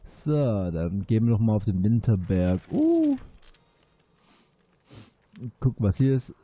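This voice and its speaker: Man, stuffy nose, nasal, low dark voice, slow lively speech, faint game music. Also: slightly rough. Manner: relaxed, chatty. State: stuffy nose, sore throat. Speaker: let's play host. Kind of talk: casual gameplay commentary.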